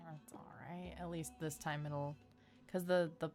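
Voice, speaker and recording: A woman's voice: low voice, level tone, unhurried, a little mellow, soft background music. A woman streamer giving casual, playful gameplay commentary, sometimes swooping into gushing, cutesy baby talk.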